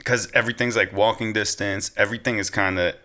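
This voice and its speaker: A man's voice: lowish voice, steady tone, fast talker, bright, forceful tone. A young American man chatting casually as a podcast guest, easygoing and slangy. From Pennsylvania, United States. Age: young adult. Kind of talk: casual interview chat.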